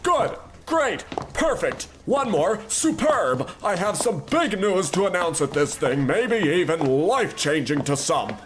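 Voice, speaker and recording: Casting: male actor as a high, lively-voiced greedy studio boss, pompous and dismissive, for an old-time radio comedy. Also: unhurried, hardly any pauses, background noise. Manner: haughty, dismissive, bossing staff around. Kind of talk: 1940s-style radio play acting.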